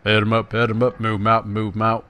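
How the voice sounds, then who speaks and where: A man's voice: on the low side, monotone, quick pace, bright, forceful tone, smooth. A man giving casual, self-deprecating let's-play commentary, talking directly to his viewers. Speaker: gaming YouTuber, host. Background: video game sound.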